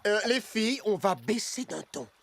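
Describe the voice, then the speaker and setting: A woman voicing a hesitant, good-natured father who tries to calm his children, in a cartoon. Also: mid-range voice, normal speed, noisy background. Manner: hesitant, stammering, peacemaking. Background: background music.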